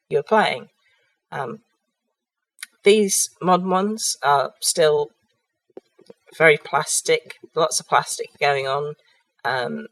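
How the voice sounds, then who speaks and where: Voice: medium pitch, fairly bright tone, poor audio. A miniatures hobbyist who has a cold. They narrate a product review hesitantly, with frequent ums and restarts.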